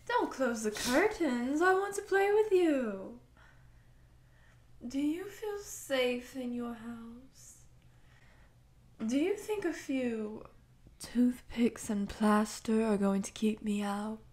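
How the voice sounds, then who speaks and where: An obsessive, sadistic giant woman, played by a female voice actor in an intimate ASMR audio drama. Her voice sits fairly high and moves very slowly. She coos and teases possessively, often sinking to a whisper as if talking to a tiny captive. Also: lively intonation, a little mellow. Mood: playful menace. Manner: slow, teasing, cooing and possessive, often dropping to whispers.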